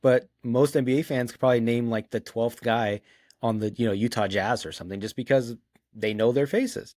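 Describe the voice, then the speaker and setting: A man's voice: medium pitch, steady tone, brisk, darkish tone, dry recording, studio quality. A man hosting a casual sports discussion in a conversational way, often posing questions. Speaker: podcast host.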